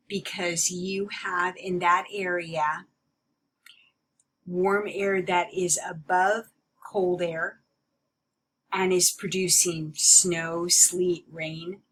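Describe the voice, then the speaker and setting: A woman giving an explanatory lecture as a teacher, in a deliberate, emphatic way that repeats key points. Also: fairly low pitch, a bit bright, slight background noise, low-quality recording. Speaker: meteorology teacher.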